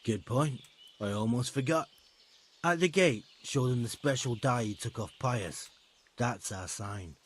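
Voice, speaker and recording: An actor voicing a brusque, sly bandit recruiter in a medieval video game, offhand and needling. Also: male voice, medium pitch, measured pace, breathy edge. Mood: offhand, mocking. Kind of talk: video game cutscene acting.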